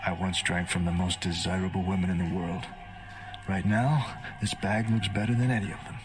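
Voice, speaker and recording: An actor in a video game playing a burned, tortured vampire prisoner who speaks in a halting, desperate, gravelly voice. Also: male voice, low-pitched, little pitch movement, natural voice. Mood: weary, bitter. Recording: loud music behind the voice.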